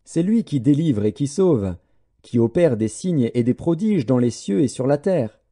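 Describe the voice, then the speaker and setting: A man's voice: medium pitch, animated, unhurried, warm tone. A man voicing a solemn king who issues a grand, formal royal decree in a scripture audiobook. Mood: solemn proclamation. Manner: proclaiming, stately, formal.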